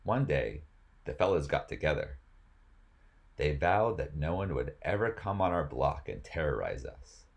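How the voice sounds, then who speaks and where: A man's voice: rumbling low, very animated, measured pace. A man reading rap lyrics aloud as spoken word, as host of the reading.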